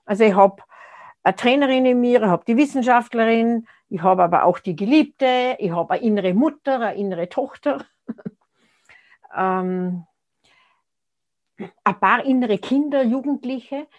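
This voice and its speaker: A woman's voice: on the high side, a little slow, long pauses, darkish tone. A woman, speaking as an interview guest in a reflective, conversational way and often restarting her sentences as she searches for words. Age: 60s.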